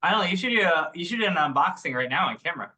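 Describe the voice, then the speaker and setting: A man's voice: fairly high pitch, animated, rapid-fire, over a noisy crowd. A man chatting casually as an organizer on an online meeting. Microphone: teleconference connection.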